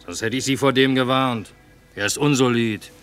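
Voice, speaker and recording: A man's voice: medium pitch, steady tone, normal speed, a bit bright, soft background music, natural voice. A man playing a wry, grumbling army cook out of work, in a colloquial, teasing radio drama style. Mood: dry, knowing. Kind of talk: theatrical radio drama acting.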